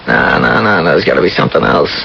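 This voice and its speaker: A man's voice: very deep voice, lively intonation, fast, gravelly, exaggerated voice. An actor playing a cocky, scheming male ex-convict in a radio mystery drama, brash, suspicious and slangy. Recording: dull, noisy old radio broadcast.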